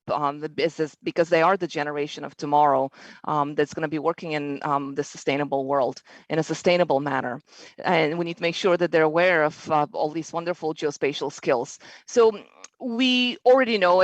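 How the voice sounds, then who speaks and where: A woman's voice: lowish voice, dry recording, low-quality recording. Woman giving a keynote. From Bulgaria. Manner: friendly, fluent with frequent ums and restarts. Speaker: keynote speaker and educator. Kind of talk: conference keynote over a video call.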